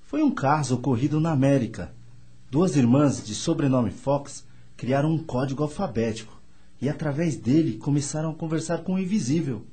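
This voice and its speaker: An actor playing a courteous, well-informed gentleman in a radio soap opera, with formal, florid manners. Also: on the high side, moderate pace, breathy edge. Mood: confiding, informative. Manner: formal, florid, theatrical politeness. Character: courteous, well-informed Frenchman, eager to introduce himself.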